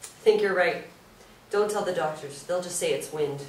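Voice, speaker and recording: Woman acting kindly confiding nurse, frank, lowish voice, stage drama, roomy distant recording. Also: moderate pace, lightly acted. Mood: cautious. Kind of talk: staged play reading. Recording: roomy stage, distant mic, faint noise.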